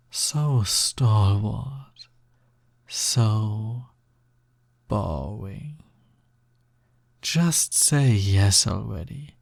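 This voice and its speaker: Slow, breathy, smug snake demon played by a man. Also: medium pitch, no room sound, studio quality, heavily acted. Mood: teasing, impatient. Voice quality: breathy, soft, slightly gravelly. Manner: drawling, coaxing, teasing. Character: teasing, smug, touch-starved male naga snake-demon roommate. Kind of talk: slow, intimate audio roleplay, ASMR-like.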